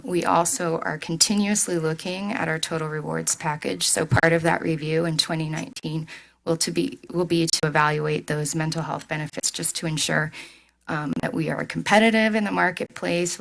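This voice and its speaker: A woman giving a steady, businesslike update to a board. Her voice sits low with a gravelly texture. She has a measured delivery. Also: low-quality recording. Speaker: staff executive presenting. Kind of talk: board meeting presentation.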